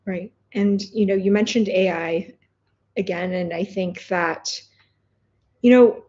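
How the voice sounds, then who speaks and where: A woman's voice: mid-range voice, slow, dark tone, dull audio. A woman hosting a conversational news interview, summarising findings and putting questions to her guest. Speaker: interviewer, host.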